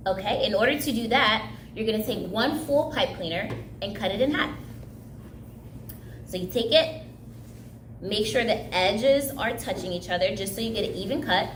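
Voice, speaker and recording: A woman's voice: medium pitch, normal speed, a little hiss or hum. Upbeat young woman in an echoey room. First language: Haitian Creole. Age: young adult. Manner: upbeat, chatty, laughs easily. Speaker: craft instructor. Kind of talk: casual craft tutorial. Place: indoor room, recreation center.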